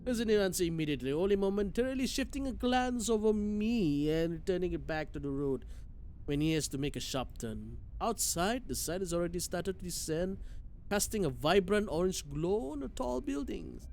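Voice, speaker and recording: A man's voice: sits high, animated, normal speed, darkish tone, a little hiss or hum. A man streaming a game, reading its narration aloud expressively with occasional stumbles and side comments.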